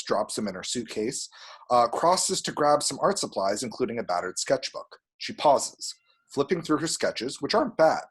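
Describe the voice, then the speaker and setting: Slightly husky man narrating a screenplay. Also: medium pitch. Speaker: stage direction reader.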